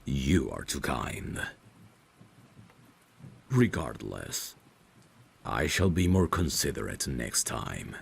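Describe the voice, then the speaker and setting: Male actor as ancient vampire butler, extremely low voice, slow measured delivery, formal, gentle, devoted, ASMR drama. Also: sing-song, a little hiss or hum, exaggerated voice. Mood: courteous, attentive. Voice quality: very deep, creaky. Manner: formal, deferential, measured servant's phrasing. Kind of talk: ASMR roleplay, dramatic scripted acting.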